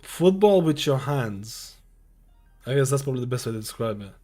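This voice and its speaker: A man's voice: medium pitch, expressive, normal speed, warm tone, soft background music. A man in his thirties from Lithuania, a streamer chatting casually with his viewers, rambling and repeating himself with lots of fillers. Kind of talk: casual live-stream chat. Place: home streaming room.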